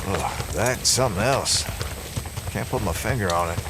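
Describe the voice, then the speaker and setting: An actor playing a gruff, steady small-town American sheriff with a plain-spoken, folksy manner in an audio drama. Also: male voice, fairly low pitch, normal speed, background noise, lightly acted. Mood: wry, grumbling. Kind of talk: full-cast audio drama acting. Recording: music bed under the dialogue.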